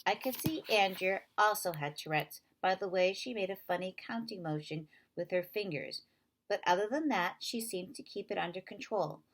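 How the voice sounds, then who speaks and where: A woman narrating a children's novel in an expressive read-aloud style. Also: medium pitch, moderate pace, poor audio.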